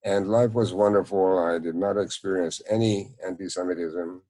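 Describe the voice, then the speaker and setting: A man in his nineties with a Hungarian accent, recounting memories in an interview in a measured, halting way. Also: low-pitched, fairly even intonation, warm tone. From Czechoslovakia. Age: 90s. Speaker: Holocaust survivor, interviewee. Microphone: video call.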